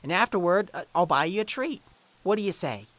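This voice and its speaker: A woman voicing a caring, hesitant farm pony in a parody cartoon, speaking in an earnest, halting way. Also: fairly low pitch, lively intonation, a bit bright. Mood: gently coaxing. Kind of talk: parody cartoon dub acting.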